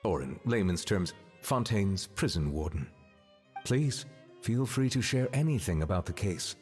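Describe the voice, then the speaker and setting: An actor playing a composed, courteous chief judge in a video game, speaking in a formal, measured, polite way. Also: male voice, low voice, lively intonation. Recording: hall-like reverb. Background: soft orchestral music.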